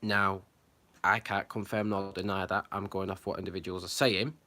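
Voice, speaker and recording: A man's voice: low-pitched, level tone, normal speed, pushed and bright. A man hosting his own channel, giving unscripted, earnest commentary full of fillers, restarts and hesitations. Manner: earnest, concerned. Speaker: channel host.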